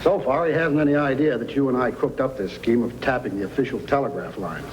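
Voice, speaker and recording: An actor playing a folksy but crafty frontier storekeeper in an old-time radio drama. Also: male voice, medium pitch, quick pace, noisy background, lightly acted. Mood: scheming. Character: folksy fatherly frontier storekeeper who is secretly a crafty outlaw.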